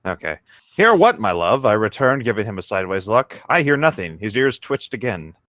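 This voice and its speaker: A man's voice: fairly low pitch, sing-song, fairly quick, a bit bright, no room sound. A man reading a story aloud as a co-host, casually dropping in exasperated, joking asides. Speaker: co-host of a comedic fan fiction reading show.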